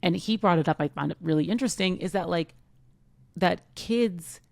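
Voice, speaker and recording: Thirties woman, podcast co-host, low gravelly voice, loose rambling chat. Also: moderate pace, a little mellow, dry recording. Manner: rambling, heavy use of "like". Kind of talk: casual chat.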